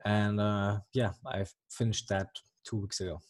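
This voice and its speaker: German-accented young guest, low voice, dry close sound, halting answers with lots of fillers. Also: male voice, level tone, no room sound. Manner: hesitant, many ums and restarts. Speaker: comic artist guest. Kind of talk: casual interview answers.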